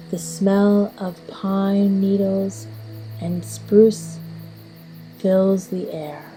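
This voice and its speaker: Slow-paced woman guiding a meditation over music. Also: mid-range voice, poor audio. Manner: measured, soothing, instructional delivery with long pauses. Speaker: guided meditation instructor. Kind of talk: slow guided meditation narration. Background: music and birdsong.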